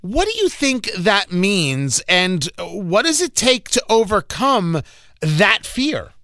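A man hosting a political interview, asking long, deliberate, emphatic questions in halting phrases. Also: sits high, big pitch swings, sharp tone, no echo, studio quality. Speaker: radio interviewer, host.

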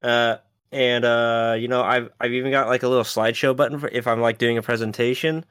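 A man in a mid-range voice explains software on a casual podcast, steady and patient with plenty of fillers. His voice sounds close and dry, with no room around it. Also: mid-range voice, brisk, clear, resonant tone, no echo. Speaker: podcast co-host. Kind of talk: casual tech podcast chat.

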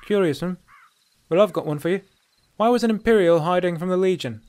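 A man voicing a sly female dark elf bandit in a fantasy story, brash, sardonic and bossy. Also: high-pitched, a little fast, clear, resonant tone, voice pushed up, lightly acted.

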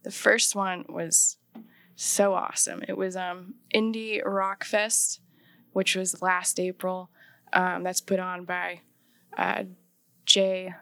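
A woman's voice: fairly low pitch, little pitch movement, slow, gravelly, a bit bright, clear, resonant tone, no room sound, clean studio sound. A young woman, a painter and singer, chatting as a guest in an interview with lots of "like" fillers. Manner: chatty, many "like" fillers, laughs often. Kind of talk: casual interview chat.

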